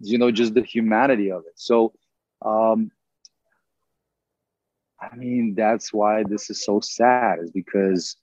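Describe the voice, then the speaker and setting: A man's voice: lowish voice, fairly even intonation, takes it slowly, long pauses, a little mellow, dry recording, lo-fi sound. A middle-aged man from Ukraine, a native Russian speaker, talking earnestly as an interview guest and rambling with lots of "you know" fillers. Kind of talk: casual interview conversation.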